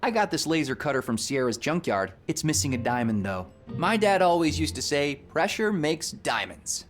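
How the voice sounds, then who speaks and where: A young inventor for an adventure game, eager and proud of his work. His delivery swings freely in pitch, casual with a wry edge, over faint music. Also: big pitch swings, moderate pace. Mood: reflective, subdued. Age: young adult. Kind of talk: video game character dialogue.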